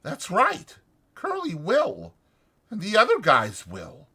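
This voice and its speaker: Guy doing a worn-out ranch hand in an audiobook, firm and protective, blunt country talk, raised pitch, forceful. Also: huge pitch swings, takes it slowly, slightly rough, full character voice. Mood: resigned. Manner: blunt ungrammatical rural working-man speech. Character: weary, resigned male ranch worker, protective and firm. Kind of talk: dramatized audiobook character voice.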